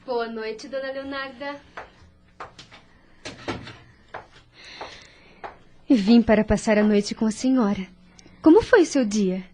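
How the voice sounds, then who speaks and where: A woman playing a devout, caring young woman at a sickbed in an audio drama, gentle, earnest and consoling. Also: on the high side, moderate pace, natural voice. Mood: gentle, pleased. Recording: soft music behind voice.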